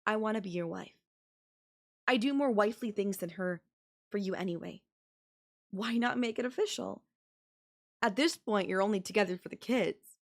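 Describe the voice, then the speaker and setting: A woman's voice: mid-range voice, moderate pace, vocal fry, long pauses, lightly acted. Woman acting an obsessive, manipulative young babysitter. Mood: bitter, insistent. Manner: pleading, defensive, possessive, conversational. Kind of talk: anime-style audio roleplay acting, intimate one-to-one.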